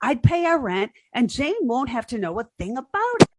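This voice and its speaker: A woman's voice: fairly high pitch, sing-song, moderate pace, very noisy. A woman playing a naive, nervous young woman in 1940s radio comedy style, hesitant and stammering.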